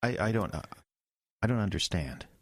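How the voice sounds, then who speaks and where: A man's voice: fairly low pitch, lively intonation, moderate pace, no echo. A man playing an earnest young small-town pastor who gets easily flustered, in an audio drama. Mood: bewildered. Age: young adult. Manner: earnest, stammering when rattled.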